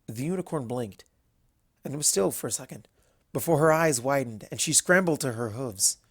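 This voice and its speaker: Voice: medium pitch, animated, moderate pace, a bit bright, breathy edge, no echo, studio quality. A narrator reading a scripted fantasy story aloud.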